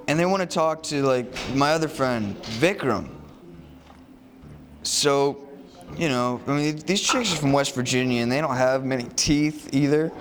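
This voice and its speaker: A man spins a loose, jokey anecdote, leaning on "like" and "you know" between every few words. He shifts into mock-serious asides, with soft music faintly under his voice. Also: mid-range voice. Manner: rambling, full of "like" and "you know" fillers. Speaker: student show presenter. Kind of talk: casual rambling storytelling.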